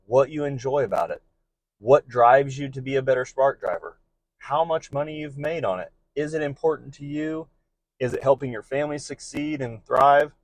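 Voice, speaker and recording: A man's voice: mid-range voice, a little mellow, no echo, poor audio. A man speaking informally and earnestly, offering encouraging advice to fellow delivery drivers in a monologue.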